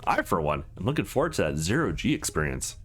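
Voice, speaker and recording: A man reads the part of an easygoing young man on a date in a visual novel game. He sounds casual and affectionate, with very animated pitch and music underneath. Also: fast, breathy edge, full character voice. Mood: looking forward.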